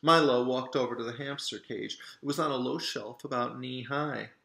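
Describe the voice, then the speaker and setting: A man reading a children's story aloud in an expressive way, as the author, with asides to show pictures. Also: mid-range voice, normal speed, gravelly. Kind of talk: animated children's storybook narration. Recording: roomy, echoing sound.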